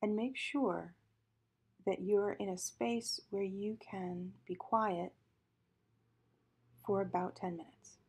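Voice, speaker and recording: A woman leading a guided meditation in a calm, gentle, measured manner, with a soft, breathy voice that often trails into a whisper. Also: medium pitch.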